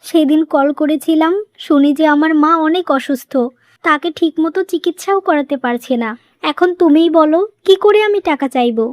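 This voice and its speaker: A woman's voice: high-pitched, moderate pace, no echo, studio quality, lightly acted. A woman voicing a wronged, long-suffering young wife in a moral-story cartoon, earnest and pleading.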